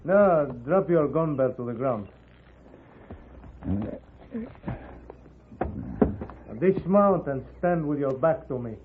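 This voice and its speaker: Mexican outlaw on old tinny radio. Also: male voice, a little high. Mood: commanding, menacing. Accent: Mexican Spanish. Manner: measured, formal, commanding. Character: cautious commanding Mexican outlaw holding up a rider. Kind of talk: 1950s Western radio acting. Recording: muffled, narrow-band 1950s radio recording, noisy.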